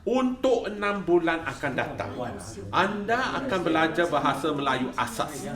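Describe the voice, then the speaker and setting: A man's voice: on the high side, big pitch swings, background noise. A man acting a patient, easily exasperated language teacher in an amateur radio play. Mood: instructing. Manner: slow, repetitive, instructing. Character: patient but easily exasperated male Malay-language night class teacher. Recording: echoey room, loud classroom crowd.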